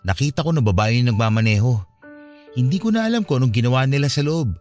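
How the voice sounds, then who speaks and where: Actor as earnest young man, low confiding voice. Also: expressive, quick pace, darkish tone, close to an everyday voice. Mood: confiding, recounting. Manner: conversational, confessional. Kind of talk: radio drama dialogue. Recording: music behind the voice.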